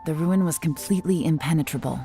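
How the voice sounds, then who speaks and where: A woman playing a shrewd, composed young investigator in a video game, speaking in a measured, analytical and self-assured way. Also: sits low, mellow. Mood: composed, explaining. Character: shrewd, composed young female investigator piecing clues together. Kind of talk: scripted video game dialogue acting. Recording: game music behind the voice.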